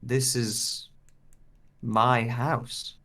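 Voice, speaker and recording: A lost, uneasy man with no memory searches for answers , as played by a male actor. He speaks in a mid-range voice, deliberate and narratorial. The delivery is that of an audio drama monologue. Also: medium pitch, little pitch movement, sharp tone. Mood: haunted, wistful.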